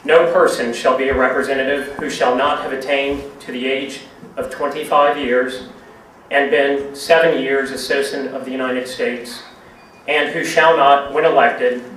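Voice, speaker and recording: A man's voice: mid-range voice, fairly even intonation, moderate pace, a bit bright. Distant man reading a formal document. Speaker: guest reader. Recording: very echoey, distant, faint noise.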